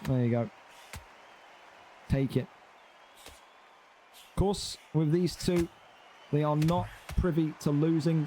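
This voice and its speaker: A man's voice: mid-range voice, warm tone, slight background noise. A man giving live, chatty commentary on an online boxing league, building up the fighters and bantering with viewers. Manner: chatty, hype-building, teasing asides to chat.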